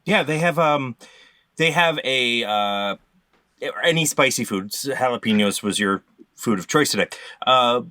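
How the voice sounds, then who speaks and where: Male comedy panelist, medium pitch, bright tone, halting deadpan absurd advice. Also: no echo. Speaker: quiz panelist. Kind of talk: improvised absurd comic explanation.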